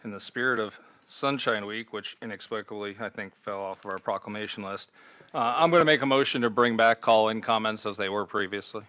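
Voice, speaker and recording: A man's voice: fairly low pitch, fairly quick, sharp tone, no room sound. A man speaking as a board member in a government meeting, insistent and argumentative. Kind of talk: formal meeting remarks, arguing a point.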